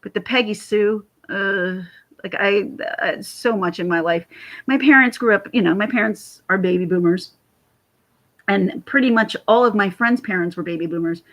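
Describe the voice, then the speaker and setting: A woman with a raspy voice chatting casually to camera on her vlog, rambling and laughing often. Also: medium pitch, moderate pace, fairly bright tone. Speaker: YouTube commentator.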